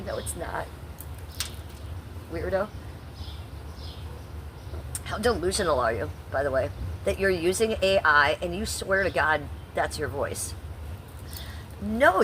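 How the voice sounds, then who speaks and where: A woman's voice: mid-range voice, a bit bright, a little hiss or hum. A middle-aged woman from Ohio, talking bluntly and sarcastically to her chat on a livestream, swearing freely. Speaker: livestream host.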